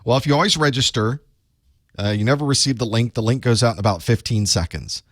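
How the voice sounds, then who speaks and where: A man from the United States with a chest cold that makes his voice a bit raspy, chatting casually with viewers as the host of a live study group. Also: medium pitch, fast, a bit bright, no echo, clean studio sound. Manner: chatty, rambling, self-deprecating asides. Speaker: online course instructor hosting live study group. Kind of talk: casual live-stream chat.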